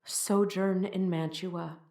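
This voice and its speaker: A woman's voice: lowish voice, fairly even intonation, takes it slowly, darkish tone. Woman playing a stern friar, echoey stage. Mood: firm, counselling. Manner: declamatory, emphatic. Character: stern, fatherly friar scolding and counselling, male role. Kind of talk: classical Shakespearean stage acting. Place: indoor performance space.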